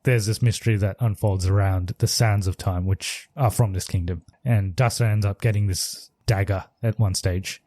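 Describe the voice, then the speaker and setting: A man's voice: on the low side, fairly even intonation, moderate pace, few pauses, warm tone, dry recording, studio quality. A man co-hosting a podcast, chatting casually about films, rambling and hedging with frequent laughs. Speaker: podcast co-host. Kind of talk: casual movie review chat.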